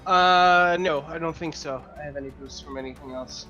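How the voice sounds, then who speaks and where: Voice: medium pitch, normal speed, sharp tone, muffled recording, soft background music. A player bantering casually during a tabletop roleplaying game.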